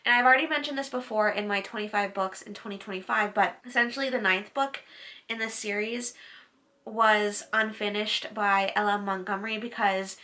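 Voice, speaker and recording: A woman's voice: medium pitch, steady tone, normal speed, pushed and bright. A woman in her late twenties, a book vlogger chatting casually to camera, with lots of "like" fillers. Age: late 20s.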